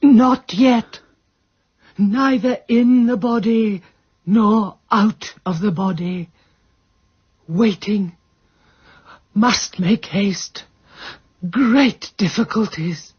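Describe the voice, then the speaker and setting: A very husky-voiced, genteel English spinster takes her time over each precise word. She is a fussy, resourceful amateur sleuth in a radio play, heard through slightly muffled sound. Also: medium pitch, extremely slow, pauses often, heavily acted. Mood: solemn, trance-like. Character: prim, resourceful, genteel spinster lady sleuth, faking seances. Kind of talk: British radio drama acting.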